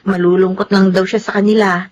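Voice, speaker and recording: An actress playing a kindly, devoted nanny in a Filipino radio drama, narrating and acting with a gentle, caring manner. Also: female voice, mid-range voice, little pitch movement, fairly quick, slight background noise, close to an everyday voice. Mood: caring, concerned. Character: kindly, devoted nanny and mother telling her story. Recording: music bed behind the voice.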